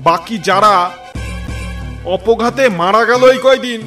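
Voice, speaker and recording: A man voicing a wise holy man in a cartoon who speaks calmly and with authority. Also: high voice, unhurried. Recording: distant voice with music behind.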